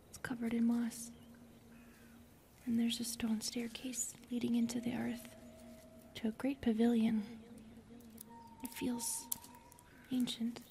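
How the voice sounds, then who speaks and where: A soft, mellow forest spirit, played by a woman, whispers with a creaky edge in an ASMR roleplay. She is lonely, eerie and gentle, speaking slowly and wistfully over faint background ambience. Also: on the high side, slight background noise. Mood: quiet wonder. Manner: soft, breathy, slow and wistful, often whispering.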